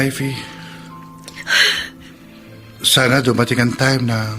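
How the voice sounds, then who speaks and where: A man's voice: medium pitch, a bit bright, natural voice. A man plays a young boyfriend in a Filipino radio drama. He is sincere and forgiving, a little preachy, in a medium male register. Faint music plays underneath. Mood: gently pleading.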